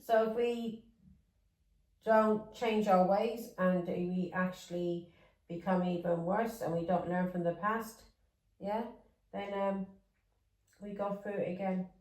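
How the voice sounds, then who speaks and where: A woman's voice: mid-range voice, steady tone, slow pace, pauses often, a little mellow, roomy sound, far from the mic, low-quality recording. A woman from England with an English accent with Irish touches, giving a casual tarot reading that rambles, repeats words and drifts into chatty asides. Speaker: tarot reader.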